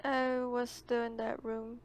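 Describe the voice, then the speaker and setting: Slow, flat, higher-voiced woman player. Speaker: tabletop game player. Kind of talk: casual game questions. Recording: faint background noise on the mic.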